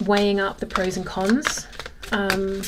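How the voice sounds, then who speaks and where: A woman's voice: medium pitch, flat delivery, slow pace. Woman reading tarot, noisy recording. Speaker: tarot reader. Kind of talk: casual tarot reading, thinking aloud.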